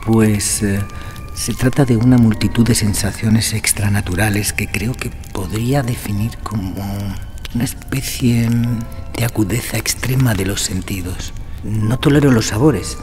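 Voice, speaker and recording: A man's voice: lowish voice, unhurried, darkish tone, soft background music, natural voice. An actor playing an ailing, anguished nobleman in a Gothic audio drama, trembling, hesitant and agitated. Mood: nervous, tormented. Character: ailing, anguished nobleman, frail, nervous and doomed.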